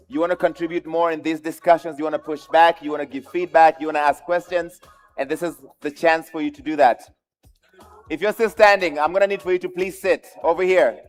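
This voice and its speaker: Upbeat man moderating a crowded forum. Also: fairly high pitch. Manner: upbeat, directive crowd-wrangling. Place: large conference hall. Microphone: handheld microphone.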